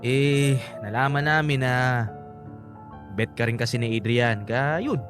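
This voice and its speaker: A man's voice: mid-range voice, unhurried, close to an everyday voice. An actor playing a cocky, playful young Filipino man in a Taglish radio drama, teasing and sheepishly hesitant. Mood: explaining, teasing. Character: Eros, cocky, playful young male friend. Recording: faint music behind the voice.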